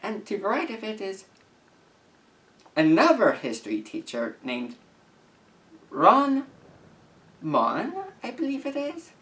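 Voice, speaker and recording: A young man of about eighteen reading messages aloud and commenting to camera as a YouTuber, with an earnest, deliberate, halting delivery. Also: sits high, wildly swooping pitch, a bit bright, distant mic. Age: young adult, about 18.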